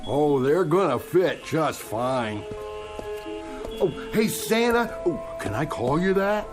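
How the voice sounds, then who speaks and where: A drawling folksy old drifter. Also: male voice, a little high, sing-song, takes it slowly, music in the background. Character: cheerful old homeless man.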